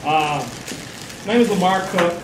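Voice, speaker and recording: A man from Detroit giving a loud, animated talk to a room, with no mic. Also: high-pitched, moderate pace. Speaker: guest speaker. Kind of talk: storytelling presentation. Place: dim lecture room. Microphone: far camera, no mic. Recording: echoey room from far off, hiss.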